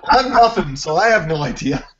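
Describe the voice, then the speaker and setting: Podcast host guy, kinda high voice, jokey and self-deprecating, muffled lo-fi audio. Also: very animated, unhurried, very noisy. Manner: joking, self-deprecating, asks lots of questions. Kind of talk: casual chat.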